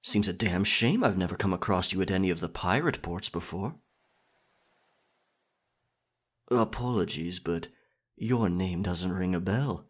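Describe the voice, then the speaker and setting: Man acting a husky, defiant pirate captain. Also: deep voice. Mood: wry, weary. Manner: slow, theatrical, archaic pirate phrasing. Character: captured, proud, defiant male pirate captain. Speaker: ASMR roleplay voice actor. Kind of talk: pirate roleplay ASMR acting.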